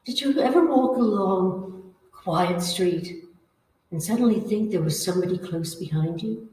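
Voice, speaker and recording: An actress playing a menacing, coaxing female ghost hungry for blood, slow and commanding in an old-time radio horror style. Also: mid-range voice, warm tone, slight background noise, lightly acted. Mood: eerie, sinister. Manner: slow, commanding, taunting, theatrical. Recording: echoey room, far from the mic.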